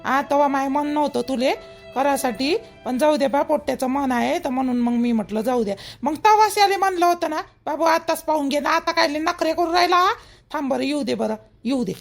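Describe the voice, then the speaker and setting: A woman's voice: high-pitched, brisk, vocal fry, soft background music. A woman voicing a middle-aged, protective, gossipy mother-in-law in a village cartoon, emphatic and repetitive, with a Vidarbha Marathi accent. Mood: indignant, reassuring. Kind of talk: rural family cartoon dialogue.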